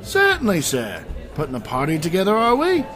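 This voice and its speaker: A man plays a genial fantasy tavern keeper in an audio drama. He is folksy and eager to oblige, his highish pitch bobbing up and down over clear music. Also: wildly swooping pitch, a little fast, pushed and bright, exaggerated voice. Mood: genial, obliging. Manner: folksy, obliging innkeeper talk. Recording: music under the voice.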